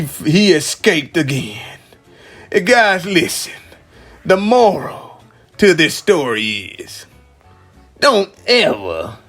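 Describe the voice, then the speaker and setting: Slow, bright-voiced man telling bedtime stories. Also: mid-range voice. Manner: animated, drawn-out delivery, addresses young listeners, repeats phrases for emphasis. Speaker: storytelling dad, show host. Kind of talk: improvised children's bedtime storytelling.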